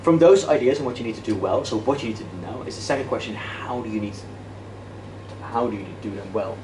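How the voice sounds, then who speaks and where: A Dutch-native man in his thirties with a lowish voice lectures a room of students in a loose, self-deprecating way, stumbling and restarting often. He is caught by a camera across an echoey classroom. There is clear hiss and room noise behind him. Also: fast. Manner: casual, self-deprecating, many restarts and fillers; walks and gestures while talking. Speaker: debate workshop lecturer. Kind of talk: interactive lecture. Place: classroom with projector screen, indoors. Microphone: far camera. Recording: echoey classroom from far off, noisy.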